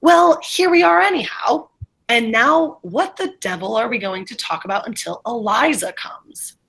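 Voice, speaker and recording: A woman reading the part of a brusque, impatient gentleman professor in a stage play, blunt, offhand and theatrical. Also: fairly high pitch, lively intonation, normal speed, gravelly, slightly rough, heavily acted, poor audio.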